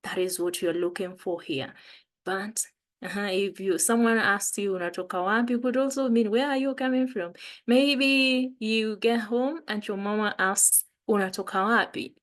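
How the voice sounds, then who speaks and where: A woman with a Kenyan accent teaching a beginner language lesson, patiently repeating phrases. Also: medium pitch.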